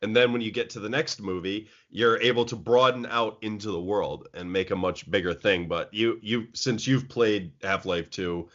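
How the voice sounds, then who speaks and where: A man's voice: lowish voice, moderate pace, hardly any pauses, a bit bright. A man co-hosting a casual talk show, speaking animatedly with lots of laughs and fillers. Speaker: podcast co-host. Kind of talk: casual chat. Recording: dull lo-fi recording.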